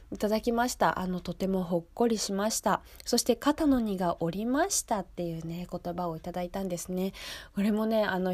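A young woman hosts a radio show in a polite, chatty way. Her voice sits at a middling pitch and sounds slightly nasal, as if stuffed up. The sound is close and dry, with very little room in it. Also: a little mellow, no room sound. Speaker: radio host. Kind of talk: casual local radio show talk. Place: radio studio.

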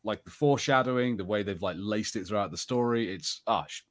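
A man with a fairly low voice talks as a podcast co-host. His delivery is casual and conversational, rambling along with lots of "like" as he gives his opinions. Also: a little fast. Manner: rambling and conversational, heavy use of "like". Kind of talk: casual chat about TV shows.

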